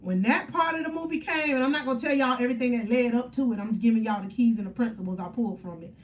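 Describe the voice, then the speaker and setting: A woman's voice: a little high, very fast, recorded from a distance, slight background noise. A woman, chatting on a faith-centered livestream, upbeat and preachy as she answers viewer comments. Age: 30s. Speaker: faith motivational livestream host. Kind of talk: casual live stream chat with viewers.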